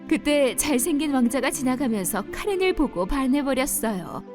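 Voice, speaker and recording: A woman's voice: high-pitched, lively intonation, moderate pace. A woman narrating a dubbed children's fairy-tale cartoon in a storytelling manner, with music behind her.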